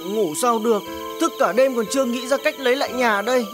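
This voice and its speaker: A woman voicing a helpful young chicken in an animated children's cartoon, with music behind the voice. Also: fairly high pitch, a little fast, close to an everyday voice. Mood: fretful.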